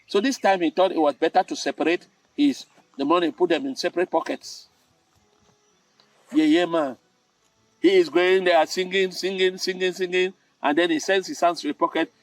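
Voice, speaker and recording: Roomy, distant older Cameroonian man telling stories. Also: a little high. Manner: theatrical, emphatic, with dramatic pauses and repetition. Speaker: storytelling host. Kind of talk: spoken storytelling with moral advice. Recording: roomy, distant mic with music.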